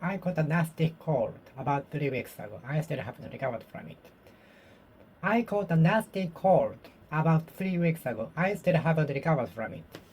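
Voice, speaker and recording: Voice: medium pitch, expressive, darkish tone, a little hiss or hum. Careful learner repeating phrases aloud. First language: Japanese. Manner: slow, careful repetition of the same sentences. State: recovering from a cold. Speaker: vlogger practicing English speaking. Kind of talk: self-study speaking practice, repeating phrases aloud.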